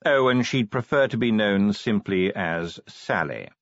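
Man playing a suave, measured city ruler. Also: lowish voice, animated, no echo, muffled recording. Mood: dry, measured. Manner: precise, formal, smooth.

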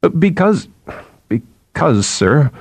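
A nervous young underling in science, played by a male audiobook reader, talks in an earnest, apologetic rush of deference. The voice sits at a middling pitch, recorded very dry. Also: animated, moderate pace, mellow, no echo, natural voice. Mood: miserable, hesitant. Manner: chattering, hesitant.

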